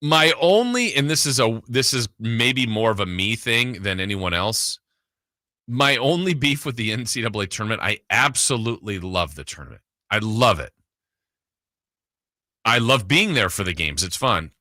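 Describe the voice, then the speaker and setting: Male sports talk host, casual and emphatic, punchy sharp tone, slightly low register. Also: very animated, moderate pace, no room sound, studio quality.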